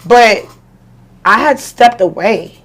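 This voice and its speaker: A woman's voice: a little high, animated, takes it slowly, a bit bright. A woman in her forties, a podcast guest telling her story in candid, emphatic casual talk full of slang. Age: 40s. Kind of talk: casual chat. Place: podcast studio.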